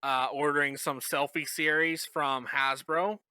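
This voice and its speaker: A man's voice: a little high, little pitch movement, a little slow, pushed and bright, clear, resonant tone, dry recording. A man co-hosting a podcast in casual banter, teasing and mock-grumpy with his partner. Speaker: podcast co-host.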